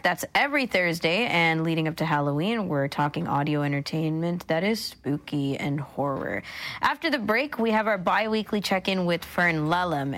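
Slightly low-voiced female talk show host. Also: a bit bright. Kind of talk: casual broadcast hosting.

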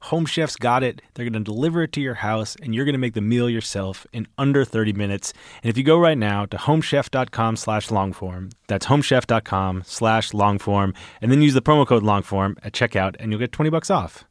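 A man's voice: fairly low pitch, fairly quick, no echo. Podcast guy doing an ad read, peppy, dry mic. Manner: upbeat salesy delivery. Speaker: podcast host. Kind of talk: read ad spot.

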